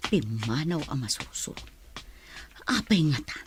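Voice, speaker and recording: An actress playing a put-upon, complaining employee who talks back to the boss in a radio drama. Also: female voice, extremely low pitch, very animated, unhurried, a little hiss or hum, full character voice. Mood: resentful. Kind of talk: Philippine radio drama acting.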